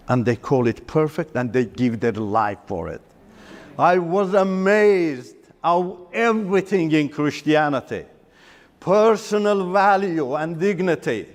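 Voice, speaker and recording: A middle-aged man from Iran, a Turkish speaker, giving an earnest personal faith testimony in halting, broken-up phrases. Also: a little high. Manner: earnest, halting, breaks up sentences with pauses and restarts. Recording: long hall reverb.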